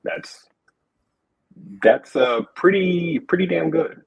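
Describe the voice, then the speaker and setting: A man with a husky voice talking casually as a panelist. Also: fairly high pitch, lively intonation, slow pace, dull audio. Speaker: conference panelist.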